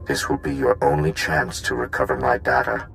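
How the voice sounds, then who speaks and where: An actor playing an ancient, dying machine gatekeeper in a video game, solemn and impassive, speaking in formal, clipped reports. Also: male voice, rumbling low, steady tone, normal speed, fairly bright tone, a little hiss or hum.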